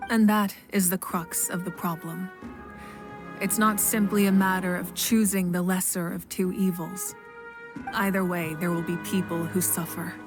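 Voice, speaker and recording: Composed goddess-ruler, a woman in the role, measured and patient, game cutscene with faint music. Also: on the low side, little pitch movement, lightly acted. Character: calm, authoritative female fire goddess ruler. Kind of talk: game voice acting.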